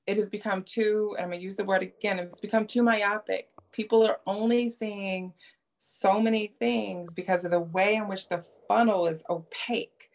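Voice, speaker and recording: Gravelly-voiced woman podcast host. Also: mid-range voice. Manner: thoughtful, searches for words, speeds through lists. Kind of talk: reflective interview chat.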